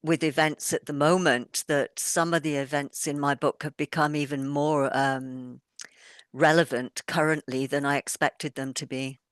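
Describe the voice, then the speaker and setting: A woman's voice: deep voice, fairly even intonation, measured pace, clean tone, no echo, clean studio sound. A middle-aged woman author with a mixed British accent, a touch of Welsh and Yorkshire, talking thoughtfully in an interview. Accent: mixed British, with a little Welsh and Yorkshire. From born in England, raised moving around the world. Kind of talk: casual conversation.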